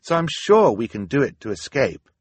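Man acting out earnest teenage girl, higher husky voice, anxious older sister, storybook character. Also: sing-song, normal speed, dry recording. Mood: determined.

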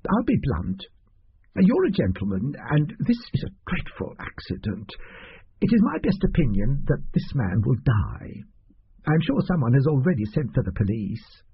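A man voicing a fat little male doctor, a wheedling fraudster who talks in hushed, fussy tones. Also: mid-range voice, very animated, moderate pace, vocal fry, husky, dull audio, exaggerated voice.

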